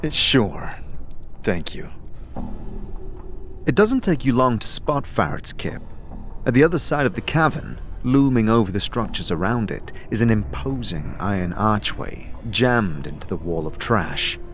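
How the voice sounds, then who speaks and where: A man narrating an audio drama in a measured, descriptive, scripted storytelling style. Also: lowish voice, animated. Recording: clear music behind the voice.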